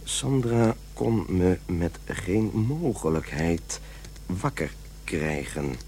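An irritable middle-aged husband and office manager in a radio play, trying to give up smoking. His pitch swings widely as he blusters and defends himself. A faint hum and noise sit under the voice. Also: expressive, slow, a little mellow, exaggerated voice. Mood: slow, exhausted.

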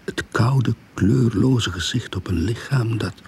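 Radio play narrator, suspense story, real low gravelly voice, bit of noise. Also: male voice, takes it slowly, darkish tone. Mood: suspenseful. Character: male first-person narrator of a suspense tale. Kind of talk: scripted radio drama narration. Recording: faint background noise.

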